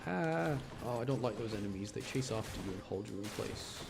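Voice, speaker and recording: A man's voice: lowish voice, lively intonation, brisk, creaky. A man giving casual, chatty live game commentary, thinking aloud and explaining choices to his chat in an apologetic way. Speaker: game streamer talking to chat.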